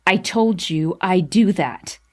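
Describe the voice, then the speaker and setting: A woman's voice: lowish voice, steady tone, slow, gravelly, darkish tone. A woman voicing a brooding, defiant young man in an audiobook, bitter, curt and cutting.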